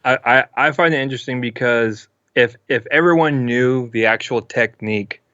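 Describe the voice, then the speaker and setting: A man's voice: medium pitch, moderate pace, a bit bright, no echo. A male music producer talking casually as an interview guest from his home studio, explaining things with lots of "you know.". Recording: dull, lo-fi dry sound.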